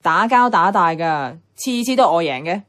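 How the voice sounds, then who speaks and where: A woman's voice: fairly low pitch, animated, moderate pace, a bit bright, faint music behind. Cheeky, bossy young Hong Kong woman played by an actress. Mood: teasing. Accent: Hong Kong Cantonese. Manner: blunt, playful, colloquial. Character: bossy, cheeky, teasing young female flatmate. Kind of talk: radio drama dialogue.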